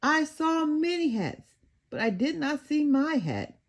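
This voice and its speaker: A woman voicing an excitable, fretful and earnest male dinosaur detective in a children's storybook, exclamatory and singsong. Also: sits high, a little slow, voice pushed up, exaggerated voice. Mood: glum, frustrated.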